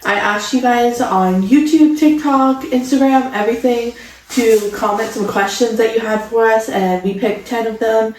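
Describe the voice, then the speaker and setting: A woman's voice: a little high, steady tone, moderate pace, slight background noise. A woman of twenty-five chatting casually and enthusiastically as co-host of a vlog. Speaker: vlogger co-host. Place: home studio room, indoors. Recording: echoey room, distant mic.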